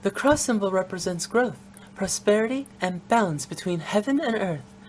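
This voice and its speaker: A woman's voice: medium pitch, lively intonation, moderate pace, darkish tone, slight background noise, close to an everyday voice. A woman voicing a playful young funeral director with a cheeky, bubbly, teasing manner, in a video game.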